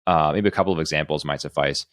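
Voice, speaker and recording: Very deep-voiced man, podcast discussion. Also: fast talker, a bit bright, dry recording, clean studio sound. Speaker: podcast co-host. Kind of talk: podcast discussion, reading out and commenting on an article.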